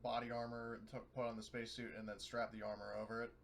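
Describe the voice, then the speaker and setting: A man's voice: medium pitch, flat delivery, fast, gravelly, crowd noise. A man chatting casually as a player in a tabletop roleplaying game.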